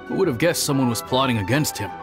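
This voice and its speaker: Man acting as older male officer, measured, sceptical, video game dialogue, faint music behind. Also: mid-range voice, lively intonation, lightly acted. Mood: reflective.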